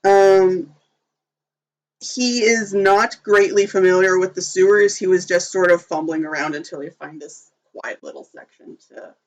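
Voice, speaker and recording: Game master, hesitant, slow, middling pitch, lots of pauses. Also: fairly even intonation, poor audio. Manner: slow, hesitant narration with fillers. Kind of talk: narrating a tabletop game.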